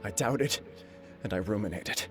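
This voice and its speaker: A man's voice: on the low side, normal speed, music in the background, natural voice. A man voicing an earnest, humble young clan heir in a video game, formal and resolute. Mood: remorseful. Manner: formal, earnest, self-reproachful.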